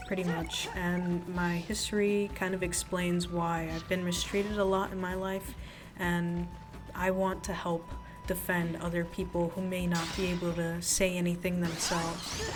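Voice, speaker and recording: Woman video creator, even delivery. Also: lowish voice. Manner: sincere and personal, addressing viewers as friends. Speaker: video creator and channel host. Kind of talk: casual, earnest talk to viewers over gameplay. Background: music.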